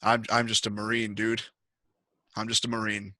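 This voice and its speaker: Man acting hesitant Marine survivor, tabletop game, informal, slightly low, bright. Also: completely flat delivery, moderate pace, no echo. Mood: uneasy, defensive. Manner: hesitant, repeats himself, informal. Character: laid-back male Marine survivor, reluctant, plain-spoken.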